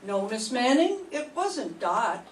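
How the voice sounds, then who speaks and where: An older woman reading the part of a calm, shrewd police inspector in an old-time radio mystery, with deliberate, measured questioning. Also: fairly high pitch. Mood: stern, probing. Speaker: student in a radio drama class. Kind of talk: 1940s radio mystery acting. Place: classroom. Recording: echoey room, distant mic, faint hiss.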